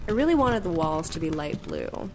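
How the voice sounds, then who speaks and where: A woman's voice: low-pitched, big pitch swings, moderate pace, noisy background. An actress playing a hot-tempered, wounded young woman in an audio drama, with a blunt, sweary way of talking. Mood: subdued, reflective. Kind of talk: audio drama acting and first-person narration.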